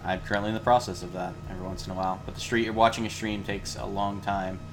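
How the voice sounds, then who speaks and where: A man's voice: lowish voice, level tone, fast, a loud crowd. A man giving casual commentary while playing a video game with friends. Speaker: player in a group game playthrough. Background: video game music.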